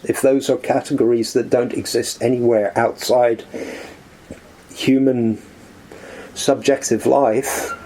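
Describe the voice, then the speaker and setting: Guy thinking out loud in his living room, warm relaxed voice, lots of restarts. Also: mid-range voice, rough recording. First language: English. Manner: thoughtful, halting, many restarts. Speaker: podcast guest, author. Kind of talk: reflective conversational interview.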